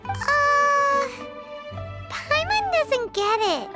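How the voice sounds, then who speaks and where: A very high, bouncing little fairy girl voice for a video game. She is chatty, cheeky and excitable, with swooping exclamations, and loud playful music runs behind her. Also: drawn-out words, full character voice. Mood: puzzled. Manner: speaks of self in third person, exclamatory. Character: tiny childlike female fairy companion, chatty, excitable, cheeky. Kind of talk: cartoonish video game voice acting.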